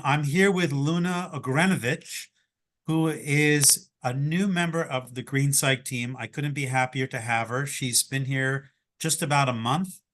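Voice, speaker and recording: Male interview host, American accent, average pitch, conversational delivery on a video call. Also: fairly bright tone. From New York, United States. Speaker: host introducing a new team member.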